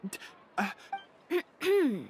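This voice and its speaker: An actress playing a timid, nervous underling in a video game, hesitant and stammering. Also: female voice, drawn-out words, darkish tone, slight background noise.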